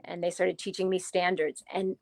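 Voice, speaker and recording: A woman in her forties from New Jersey, a guest author telling her life story in a casual interview, chatty and animated, laughing often. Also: fairly low pitch, normal speed, gravelly, no echo. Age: 40s.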